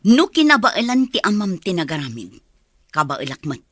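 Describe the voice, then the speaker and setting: A woman's voice: mid-range voice, very animated, normal speed, no echo. An actress playing a curt, scolding middle-aged mother, defiant and vindictive, in a radio drama. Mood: angry, defiant.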